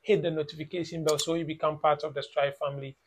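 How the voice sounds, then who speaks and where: A Ghanaian man in his thirties delivers a lecturing vlog from a small room at home. His voice sits high with a gravelly edge. He hammers each point with repetition. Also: flat delivery, quick pace, a little hiss or hum. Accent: Ghanaian English. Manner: lecturing, emphatic, repeats phrases, mocks with "blah blah". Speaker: YouTube reaction vlogger. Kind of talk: opinion vlog commentary.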